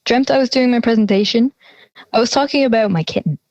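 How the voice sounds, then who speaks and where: A woman's voice: a little high, fast talker, darkish tone, no room sound, dull audio, natural voice. A young woman playing an anxious, exasperated teenage schoolgirl in conversational audio drama dialogue. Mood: anxious, sheepish. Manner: exasperated, worried. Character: anxious schoolgirl dreading a class presentation.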